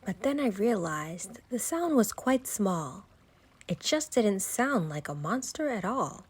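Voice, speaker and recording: A woman reading a rhyming children's bedtime story aloud in an expressive storytelling style. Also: mid-range voice, slow pace, breathy edge, no room sound.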